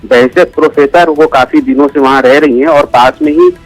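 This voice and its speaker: A man's voice: a little high, fairly quick, soft background music, poor audio. A man with a North Indian accent giving a live news report, explaining step by step. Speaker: news correspondent.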